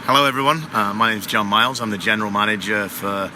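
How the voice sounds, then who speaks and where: A man with a low voice explains things at a loose, wandering pace, full of ums and asides. He speaks as a guest on a busy trade show floor. The background chatter of the hall is clearly audible. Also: fast talker. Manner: explanatory, rambling with fillers. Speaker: guest, water heater company manager. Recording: noisy expo floor background.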